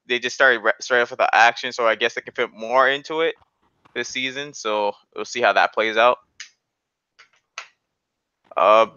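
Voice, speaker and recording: A man hosts a casual podcast chat with an informal, opinionated manner. His voice is bright and clean, recorded close and dry on a slightly dull mic. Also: medium pitch, clear, resonant tone, dry recording. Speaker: podcast host. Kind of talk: casual anime discussion.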